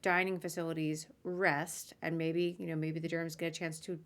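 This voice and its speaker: A woman's voice: low-pitched, level tone, moderate pace. A woman hosting a casual conversational podcast interview, chatty and informal, often slipping in asides. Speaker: podcast host interviewing a guest.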